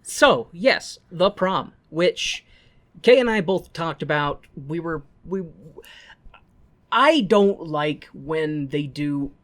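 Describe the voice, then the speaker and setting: A man's voice: on the high side, lively intonation, slow pace. A man co-hosting a podcast in casual comedic chat, with a jokey, self-deprecating manner that wanders into tangents. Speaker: podcast co-host.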